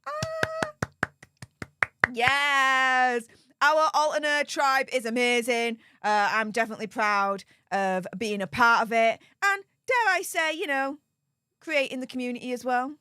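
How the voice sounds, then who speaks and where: A woman's voice: high-pitched, animated, measured pace, bright, forceful tone, clear, resonant tone, no echo, clean studio sound. A woman with a Yorkshire accent hosting a live stream, reading out donations with perky, gushing enthusiasm. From Yorkshire, England.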